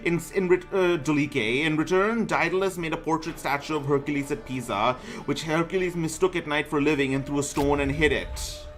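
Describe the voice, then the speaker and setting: A man with a fairly high voice reads aloud between bits of casual game commentary. He trips over words and names and keeps backing up to fix them, with music playing underneath. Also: brisk, few pauses, a bit bright. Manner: stumbles over words and names, often correcting himself. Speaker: gaming streamer reading a myth aloud.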